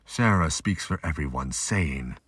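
A man's voice: extremely low pitch, lively intonation, a little slow, fairly bright tone, slightly rough, no echo, clean studio sound. A man delivering scripted, emphatic narration for an action audio drama.

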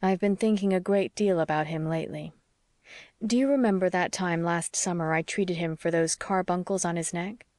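A woman voicing an earnest, stubborn young male doctor who reasons in a measured, formal way, as in an audiobook. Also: fairly low pitch, steady tone, a little mellow, no echo, studio quality, natural voice. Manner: measured, formal, slightly stiff. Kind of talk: audiobook character dialogue.

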